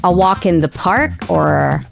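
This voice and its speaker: Low, slightly creaky-voiced woman hosting. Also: slow pace, loud music behind the voice. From Philippines. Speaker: podcast host. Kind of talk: casual interview chat. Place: small home studio room, indoors. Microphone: close studio mic on boom arm.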